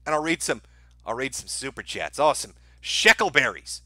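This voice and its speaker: Animated, sardonic man hosting a livestream. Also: medium pitch, moderate pace, dry recording, clean studio sound. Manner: sardonic, mocking, profane. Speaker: livestream host. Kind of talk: casual livestream commentary.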